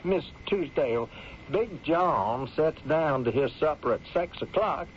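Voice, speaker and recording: A man's voice: a little high, expressive, a little slow. Polite flustered western hotel man, drawly, voice jumps all over, muffled noisy radio western. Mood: flustered, alarmed. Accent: American West drawl, folksy. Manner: hesitant, deferential, folksy, stammers ma'am. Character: flustered, courtly frontier hotel keeper, folksy and cautious. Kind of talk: radio drama acting. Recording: muffled, noisy old radio drama recording.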